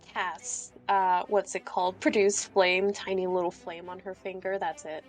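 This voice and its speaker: A woman's voice: on the low side, flat delivery, unhurried, soft background music. A woman playing a tabletop roleplaying game, speaking casually as she improvises her character's turn. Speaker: player in a tabletop roleplaying podcast.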